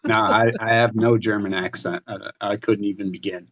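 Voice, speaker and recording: A man's voice: fairly low pitch, fairly even intonation, normal speed, fairly bright tone. A man joining a podcast as a guest, chatting casually in an easygoing, self-deprecating way. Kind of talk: casual chat. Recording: dull lo-fi call audio.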